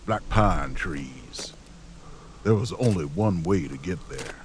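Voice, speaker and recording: A gruff, weary survivor recounts his ordeal in a low voice. He is stoic and colloquial, an audio drama narrator over faint noise. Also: expressive, slow. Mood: stoic, grim.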